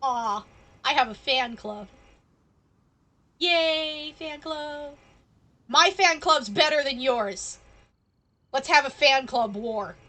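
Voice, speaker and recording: Bright, high-voiced playful woman streamer. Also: lively intonation, slow pace, pauses often. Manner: playful, cheeky, blunt. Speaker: game streamer. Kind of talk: casual livestream commentary, playing along with dialogue.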